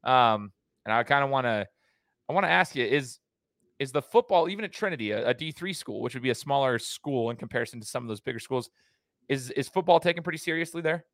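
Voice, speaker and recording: A man's voice: mid-range voice, expressive, quick pace, a bit bright, no echo, clean studio sound. A man hosting a podcast and coaching basketball, asking long, rambling questions in a casual chatty way.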